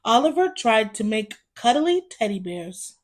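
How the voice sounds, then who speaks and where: A woman reads a children's story aloud, narrating expressively and deliberately for young listeners. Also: a little high, big pitch swings.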